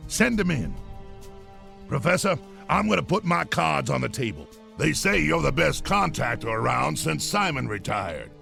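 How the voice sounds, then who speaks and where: An actor playing a blustering, bossy old army colonel with a gravelly voice. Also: male voice, a little high, big pitch swings, normal speed, bright, forceful tone, faint music behind, exaggerated voice. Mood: gruff. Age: older. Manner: gruff, boastful and commanding.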